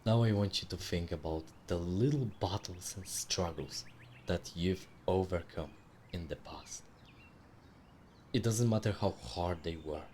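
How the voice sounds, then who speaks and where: Male meditation guide, low register, soothing and measured with long pauses, birdsong ambience behind. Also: a little mellow, a little hiss or hum. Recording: clearly audible background ambience with birdsong. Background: birdsong and nature ambience.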